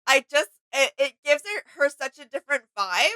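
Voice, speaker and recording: A woman's voice: high-pitched, expressive, normal speed, sharp tone, a little hiss or hum. A young woman co-hosting a reaction video in casual, conversational style. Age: young adult. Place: small indoor room with desk and shelves.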